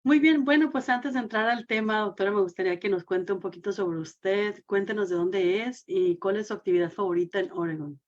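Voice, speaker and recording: A woman's voice: mid-range voice, fairly even intonation, fairly quick, hardly any pauses. A woman hosting a community radio program, presenting and interviewing. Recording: dull, band-limited sound.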